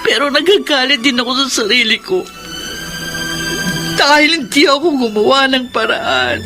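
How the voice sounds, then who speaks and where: A woman playing an angry, grieving daughter in a Filipino radio drama, with emphatic, drawn-out delivery. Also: high-pitched. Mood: angry, bitter. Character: angry, grieving adult daughter, bitter and unforgiving. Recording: music bed behind the voice.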